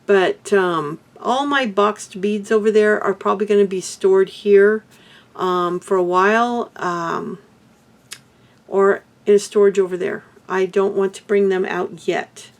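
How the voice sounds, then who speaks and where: Older lady vlogging crafts, hoarse and a bit gravelly, just rambling along. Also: medium pitch, fairly even intonation, slow. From Texas. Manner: rambling, chatty. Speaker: beadwork vlogger. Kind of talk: casual chat to camera.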